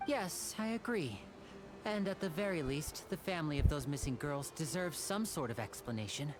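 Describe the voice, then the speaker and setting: A woman playing a calm, polite, thoughtful young man in an anime video game, with ambience behind. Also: low voice, normal speed, music in the background. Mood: thoughtful, grave.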